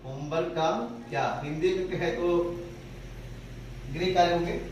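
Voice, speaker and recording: A male spoken-English teacher with a Hindi accent, who explains slowly and repeats phrases word by word. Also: fairly high pitch, steady tone, darkish tone, highs cut off, slight background noise. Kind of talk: classroom grammar lesson. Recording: echoey room, voice from far off.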